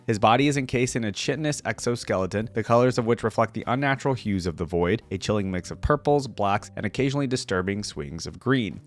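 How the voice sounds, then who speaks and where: A young man in a casual, informal reaction-video style, commenting and reading text aloud on a close microphone. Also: medium pitch. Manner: informal, slangy.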